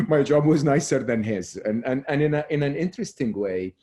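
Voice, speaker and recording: A man's voice: medium pitch, animated, normal speed, dark tone. A man, a guest talking earnestly over a remote call, often restarting and dropping his voice to close a point. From Egypt. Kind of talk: relaxed interview talk.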